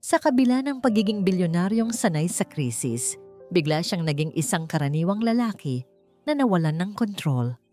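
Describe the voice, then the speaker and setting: A woman reads scripted, dramatic romance narration in a measured manner. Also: on the low side, big pitch swings, mellow. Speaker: story narrator. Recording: music behind the voice. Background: background music.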